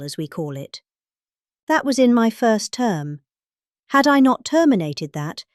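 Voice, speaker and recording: A middle-aged woman with a British accent giving a deadpan comic reading, pompous, with pointed pauses and heavy emphasis. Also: medium pitch, no room sound, clean studio sound. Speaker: comic reader.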